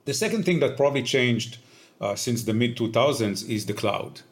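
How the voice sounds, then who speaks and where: A man in his fifties with an Israeli accent, answering interview questions in a measured, explanatory way with frequent "uh" pauses. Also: mid-range voice, animated. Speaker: tech company founder, guest.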